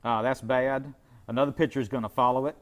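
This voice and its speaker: A man's voice: mid-range voice, fairly even intonation, quick pace. A middle-aged man speaking as a car repair expert guest in an informal TV interview, explaining things in a folksy, conversational way.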